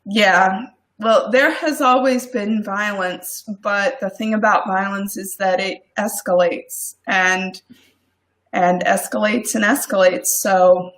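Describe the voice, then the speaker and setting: A woman's voice: mid-range voice, steady tone, takes it slowly, a bit bright, rough recording. A woman with a cold, co-hosting a Bible study with short, dry remarks. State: has a cold. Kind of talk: casual chat.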